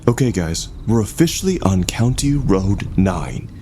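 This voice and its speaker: A man's voice: fairly low pitch, very animated, measured pace, warm tone, slight background noise, voice pushed up. A man voicing an enthusiastic young amateur vlogger who narrates showily to an imagined audience. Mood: showy, earnest.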